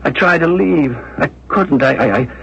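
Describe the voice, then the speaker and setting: A man's voice: on the high side, normal speed, gravelly, a bit bright, breathy edge, full character voice. An actor playing a distraught, tormented man giving testimony, with faltering, intense, theatrical 1970s radio drama delivery. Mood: anguished. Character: distraught male witness, tormented and confessional. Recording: muffled 1970s radio broadcast.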